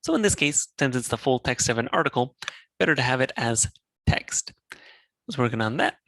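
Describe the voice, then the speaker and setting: A man presenting a software demo, calmly walking through each click of a screen share. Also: medium pitch, fairly quick, creaky, no echo. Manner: relaxed, explanatory, walks through clicks step by step.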